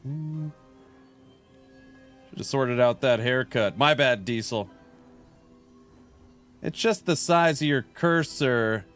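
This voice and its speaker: A man's voice: mid-range voice, slow pace, long pauses, a bit bright, soft background music. Jokey late-30s male game streamer. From California. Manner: jokey, reads viewer chat aloud and banters. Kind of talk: casual livestream chat while gaming.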